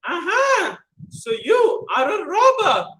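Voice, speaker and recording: A piercing, very high magic teapot from a told storybook, as a man puts it on, shrieking and scolding in a bright, cutting voice. It chants its warning with a leaping, animated pitch. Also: takes it slowly, reverberant, full character voice, low-quality recording. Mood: triumphant. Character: shrill magic teapot, fierce to wrongdoers.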